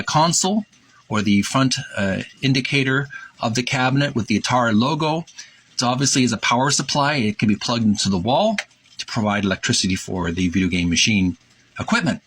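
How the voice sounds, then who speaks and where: A man reading aloud and describing pictures as a presenter, with an informal, at times halting delivery. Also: mid-range voice, fairly bright tone, slightly rough.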